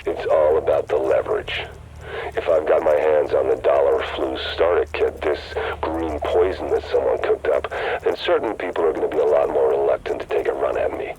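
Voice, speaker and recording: A very deep, calculating rogue leader. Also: male voice, normal speed, lo-fi sound. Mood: cool, persuasive. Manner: calm, persuasive, matter-of-fact. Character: scheming male rogue leader, cool and calculating. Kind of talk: video game cutscene dialogue. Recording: game audio with noisy ambience.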